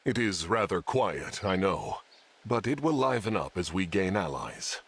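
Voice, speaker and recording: A man playing a dutiful older knight retainer in a video game, husky, with formal and courteous delivery. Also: low voice, animated, measured pace, full character voice. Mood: courteous, calm. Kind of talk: scripted video game voice acting.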